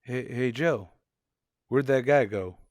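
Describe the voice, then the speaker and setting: A man's voice: medium pitch, slow pace, darkish tone, no room sound, natural voice. Man playing a plain-spoken police partner, dry sound. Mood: uneasy, alert. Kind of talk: old-time radio crime drama dialogue.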